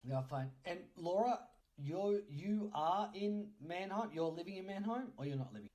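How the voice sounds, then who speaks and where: A man's voice: high-pitched, expressive, normal speed, warm tone. A man hosting a casual chat in a teasing, jokey way. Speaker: podcast host. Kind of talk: casual quick-fire interview chat.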